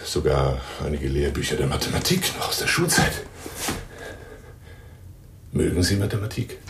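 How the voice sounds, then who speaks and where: Lonely pompous flirt on the phone, radio play, really low voice, grandiose and pushy, crackly noisy recording. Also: male voice, full character voice. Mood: rhapsodic. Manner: grandiloquent, courting, theatrically insistent. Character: pompous, flirtatious, lonely self-styled lion hunter on telephone. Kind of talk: radio drama monologue.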